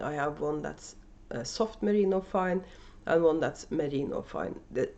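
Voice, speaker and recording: A woman's voice: deep voice, a little mellow, lo-fi sound. A woman with a Norwegian accent chats casually and unscripted as host of a knitting video podcast at home, with many fillers and restarts. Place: at home, indoors. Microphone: camera microphone.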